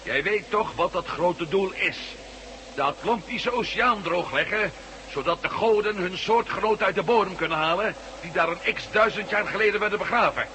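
An actor playing a defiant, sarcastic captive hero in a science-fiction radio drama. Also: male voice, sits high, moderate pace, pushed and bright. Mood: indignant. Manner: challenging, sarcastic. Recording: faintly noisy old radio recording.

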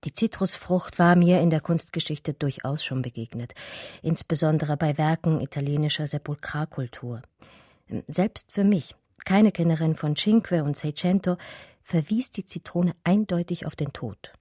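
An actress playing an educated art historian who recounts her love story in a radio drama, measured and eloquent. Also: deep voice, mellow, no echo, studio quality. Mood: measured, scholarly. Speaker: narrator and lead character. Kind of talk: literary radio drama narration.

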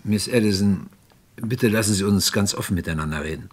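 An actor playing a courteous but insistent police inspector in a vintage German radio crime drama, measured and probing. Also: male voice, lowish voice, close to an everyday voice.

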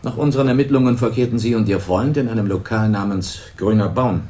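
An actor playing a low-voiced, measured police detective. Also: male voice, warm tone, a little hiss or hum, close to an everyday voice. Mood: calm. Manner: measured, official, probing. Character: calm, formal male police investigator. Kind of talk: 1980s radio crime drama.

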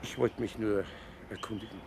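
Man acting humble foreman in a radio play, gravelly, hesitant, faint traffic noise behind. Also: lowish voice, fairly even intonation, moderate pace, natural voice. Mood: hesitant, resigned. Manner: hesitant, deferential, trailing off. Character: deferential building foreman humbly seeking a job.

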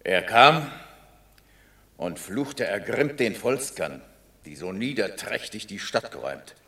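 Slow, low-voiced Roman soldier. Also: male voice, animated, bright, forceful tone, heavily acted. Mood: grave. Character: grave Roman soldier reporting on an enemy. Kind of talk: classic German radio drama, stage verse.

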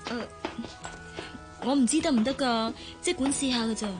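A woman playing a kind young-sounding secretary in a Cantonese radio drama, high-pitched with a Hong Kong accent. She talks in a soft, motherly, coaxing way over light background music. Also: normal speed, close to an everyday voice. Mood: hesitant. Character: gentle, caring female secretary posing as a mother.

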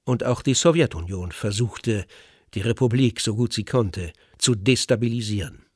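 A man narrating a scripted radio documentary in a measured, articulate, essayistic way. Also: lowish voice, darkish tone, husky, dry recording, studio quality.

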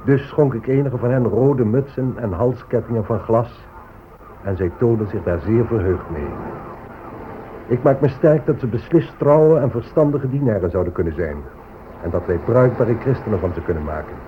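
An actor playing a proud, pious explorer admiral giving a stately, formal report in a radio drama. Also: male voice, mid-range voice, lively intonation, normal speed, lightly acted. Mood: self-assured. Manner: stately, declamatory. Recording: phone-like old radio recording, faint noise.

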